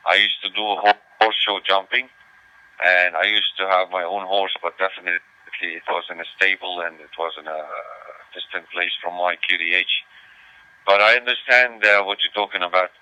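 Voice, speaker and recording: A low-pitched man talks casually as a ham radio operator, hesitant and often repeating himself. His voice is very bright and forward, coming through a dull, lo-fi radio transmission. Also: fairly even intonation, moderate pace, clear, resonant tone, no room sound. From Lebanon.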